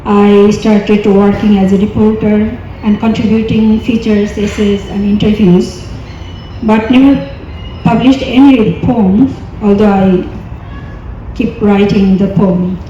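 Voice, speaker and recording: A woman from Bangladesh answers panel questions about her poetry, slow and mellow, pausing often with fillers. Her voice rings through a big echoey hall, dull and lo-fi. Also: mid-range voice, steady tone, slight background noise. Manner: hesitant, many fillers. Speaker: poet, panel guest.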